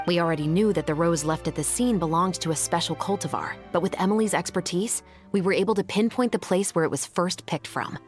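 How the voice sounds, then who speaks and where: A woman playing an earnest, dutiful young patrol captain in a video game, with a formal, measured delivery and music behind her. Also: lowish voice, lightly acted. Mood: composed, explanatory.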